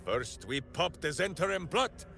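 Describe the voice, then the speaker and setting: An actor playing a big, simple-hearted heroic warrior in a video game, righteous and grandiose, speaking in a theatrical manner. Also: male voice, fairly high pitch, sing-song, measured pace, creaky, sharp tone, full character voice. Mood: righteous, bombastic. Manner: theatrical, grandiose, speaks of himself in third person. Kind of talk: video game character acting.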